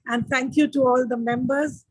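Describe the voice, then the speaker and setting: A woman giving a halting vote of thanks on a video call, with many fillers. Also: sits high, fairly even intonation, darkish tone, breathy edge, dry recording, rough recording.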